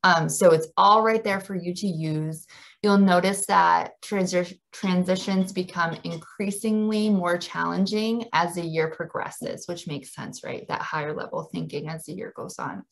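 A woman's voice: fairly low pitch, unhurried, creaky. A woman presenting an informal training workshop for teachers over a video call.

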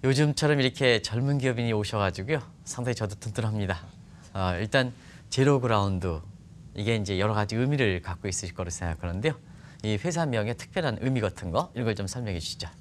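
Low-voiced middle-aged man hosting. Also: lively intonation, fast, slight background noise. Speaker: TV show host. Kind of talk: broadcast interview hosting. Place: bright TV studio. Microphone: lapel mic.

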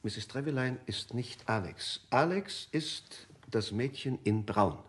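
A man's voice: medium pitch, lively intonation, slow. An actor playing a fussy, eager male witness in a German radio crime drama, telling his story circumstantially and dramatically. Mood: earnest, mysterious.

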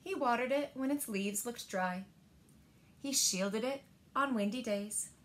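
A woman in her thirties reading a picture book aloud to children in a gentle, deliberate manner, pausing to ask them questions. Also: fairly high pitch. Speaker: library storyteller. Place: indoors, small living room by windows.

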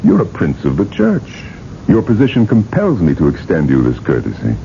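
An actor playing a cynical, arrogant, miserly older businessman in a radio drama, gruff and sardonic with mocking, drawn-out emphasis. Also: low voice, wildly swooping pitch, dark tone, exaggerated voice. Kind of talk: 1980s radio drama acting. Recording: muffled, noisy old radio broadcast.

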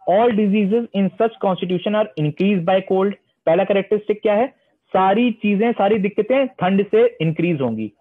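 A young man teaching homeopathy, reading English passages slowly and then explaining them, often answering his own questions. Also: sits high. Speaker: homeopathy lecturer. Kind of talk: online lecture mixing Hindi and English. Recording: tinny phone-line sound.

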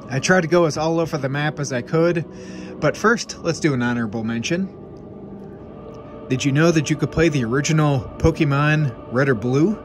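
A man hosting a gaming channel, chatting casually while he recommends games, sounding a little stuffed up from congestion and keeping an upbeat, chatty manner. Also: mid-range voice. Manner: upbeat, chatty, quick asides. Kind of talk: casual game recommendations to camera. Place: room with game shelves, indoors.

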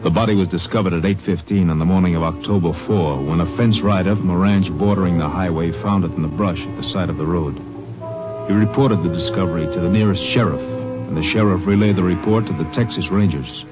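Deep-voiced American radio drama narrator, man. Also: fairly quick. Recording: muffled old radio recording. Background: music.

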